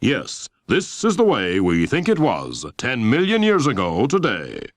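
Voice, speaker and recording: A man voicing a solemn, dramatic television announcer with grand, drawn-out delivery in a storybook. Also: medium pitch, huge pitch swings, no echo, pitched above the performer's own voice.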